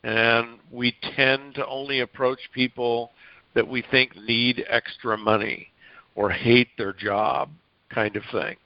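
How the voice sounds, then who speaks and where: A man's voice: mid-range voice, little pitch movement, slow pace, dry recording. Male host, encouraging coaching tone, dry dull call sound. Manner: encouraging, coaching tone addressed to listeners. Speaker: podcast host, interviewer. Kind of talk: casual interview chat.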